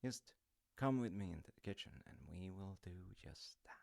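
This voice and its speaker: A man playing a gentle, affectionate, easygoing dad in an intimate comfort audio roleplay, speaking softly and tenderly. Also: sits low, animated, normal speed, creaky. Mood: playful, cozy.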